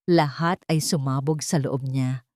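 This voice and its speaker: A woman narrating a scripted romance story in a steady, dramatic way. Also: very deep voice, a little slow, dry recording, clean studio sound.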